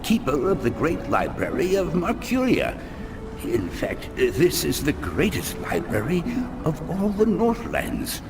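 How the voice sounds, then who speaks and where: A man's voice: a little high, full character voice. A man playing a fussy, absent-minded elderly librarian monk who rambles and chides in a fan-dubbed adventure game. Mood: proud, dithering. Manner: rambling, dithering, chiding, easily sidetracked.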